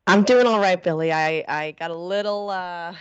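A woman's voice: fairly low pitch, moderate pace, smooth, dry recording, dull audio. A woman co-hosting a podcast interview, eager and chatty while stuffy with a cold. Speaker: podcast co-host. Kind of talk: casual interview.

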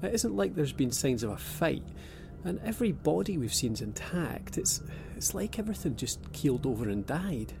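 A man voicing a dry-humoured young abductee with a gravelly, creaky edge to his voice. Over a prominent music score in an audio drama, he keeps a sardonic calm. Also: on the high side, moderate pace, hardly any pauses. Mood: wry. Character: wry young man named Paul, abductee.

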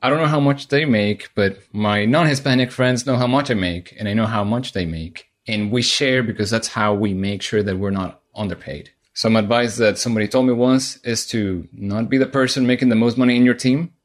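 A man, a software engineer, speaking candidly and confidently as a podcast guest telling his career story. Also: mid-range voice, a little fast, few pauses. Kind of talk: podcast interview.

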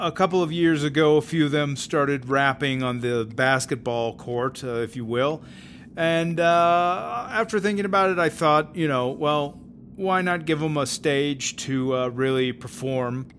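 Halting, folksy prison warden played by a man. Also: fairly high pitch, fairly bright tone, slight background noise. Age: middle-aged. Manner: hesitant, halting, full of ums and throat-clearing. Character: aging, folksy, awkward male prison warden. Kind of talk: audio drama mock documentary interview.

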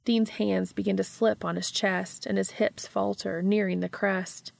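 A woman's voice: mid-range voice, level tone, a little slow, vocal fry, dry recording. A woman reading scripted fiction as an audiobook narrator.

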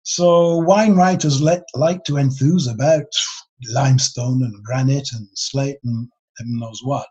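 A man explaining his subject informally as an expert being interviewed, in a husky voice. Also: medium pitch, steady tone, a little slow, darkish tone. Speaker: expert interviewee, geology author. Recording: dull, lo-fi sound.

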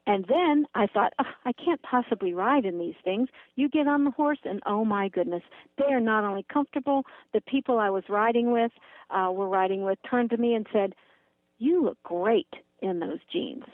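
A woman giving an enthusiastic, conversational product pitch in a gushing, emphatic manner over a muffled phone-like line. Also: moderate pace, no echo. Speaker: product presenter.

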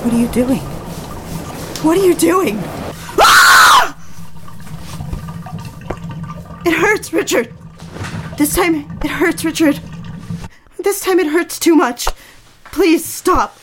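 A woman's voice: very high-pitched, huge pitch swings, slow, long pauses, sharp tone, shouting, slight background noise, heavily acted. A woman acting the part of a frightened, pleading young wife in an audio drama, anxious and eager to please. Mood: terrified.

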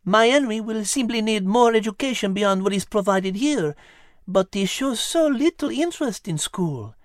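A woman's voice: medium pitch, expressive, normal speed, no echo, studio quality, lightly acted. A woman voicing a refined, clever female cat with a French accent and elegant, precise manner in an audio storybook. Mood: concerned, thoughtful. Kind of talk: acted audio storybook dialogue.

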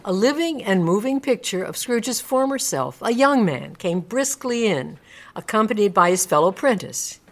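A woman's voice: mid-range voice, animated, normal speed. A woman reading scripted storybook narration as the narrator of a radio drama.

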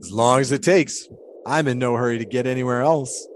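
A man's voice: medium pitch, a little fast, a little hiss or hum, natural voice. A man playing an eccentric, devout 1920s pole-sitter in an audio drama, musing in folksy, old-fashioned storytelling phrases. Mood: reflective, contented.

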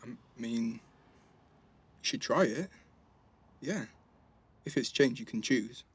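A young man playing a cheeky, guarded teenage boy with a slightly husky voice, bantering in slang in a naturalistic audio drama. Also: medium pitch, expressive, slow pace, heavily acted. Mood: wistful, casual.

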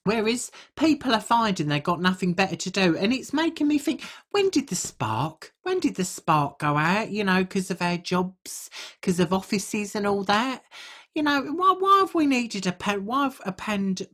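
A woman's voice: mid-range voice, fairly bright tone, breathy edge. A woman co-hosting a casual comedic podcast, chatting candidly and rambling from thought to thought. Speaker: podcast co-host.

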